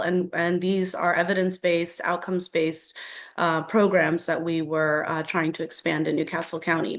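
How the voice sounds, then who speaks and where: An American woman giving opening remarks on a panel as a government official, speaking haltingly with many fillers. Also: on the low side. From United States, raised in Delaware. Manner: hesitant, halting, frequent fillers.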